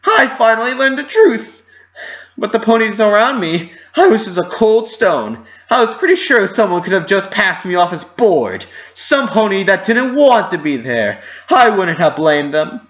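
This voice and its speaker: A man's voice: high-pitched, sing-song, unhurried, fairly bright tone, muffled recording, pitched above the performer's own voice, heavily acted. A man voicing an anguished, self-loathing young female pony in an impassioned, melodramatic story reading.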